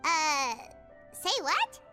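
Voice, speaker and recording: A woman's voice: sits high, huge pitch swings, very slow, over music, full character voice. A woman voicing a tiny, chatty fairy-like companion in video game dialogue, who talks about herself in the third person. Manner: refers to herself in the third person, chirpy and curious.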